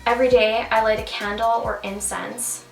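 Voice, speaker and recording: A woman's voice: fairly high pitch, flat delivery, normal speed. A young woman from Canada chatting on a vlog in a bubbly, rambling way, full of fillers. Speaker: vlogger. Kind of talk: casual chat. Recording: roomy, from a distance, music behind.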